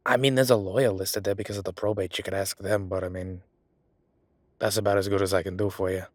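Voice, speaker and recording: A man's voice: low-pitched, little pitch movement, fast, no echo. A man playing a chatty, sardonic records clerk who rambles wryly in a roleplay audio drama. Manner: rambling, wry, exaggerated feigned innocence.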